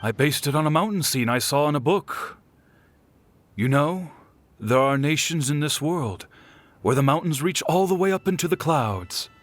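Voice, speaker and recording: An actor playing a middle-aged clan head and father, weary and dutiful, in measured, reflective game dialogue. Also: a little high, expressive. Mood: calm, pleased.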